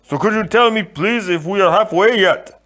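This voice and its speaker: A man's voice: high-pitched, moderate pace, a bit bright, pitched above the performer's own voice. A man voicing a meek, humble giant who speaks apologetically, in a storybook reading.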